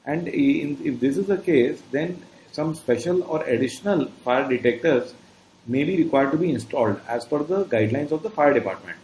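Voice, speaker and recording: A man's voice: on the high side, lively intonation, moderate pace, darkish tone. A man with an Indian accent giving an instructional lecture, explaining step by step in a didactic way. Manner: didactic, often checking in with "okay". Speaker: trainer. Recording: roomy echo, faint background noise.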